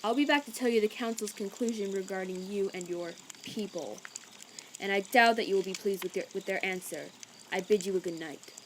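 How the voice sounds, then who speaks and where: A woman's voice: medium pitch, brisk, slight background noise, close to an everyday voice. Woman playing a cold, haughty official. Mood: curt, displeased. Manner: stiff, officious, condescending. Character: cold, haughty, prejudiced male council envoy. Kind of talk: animated comic dub voice acting.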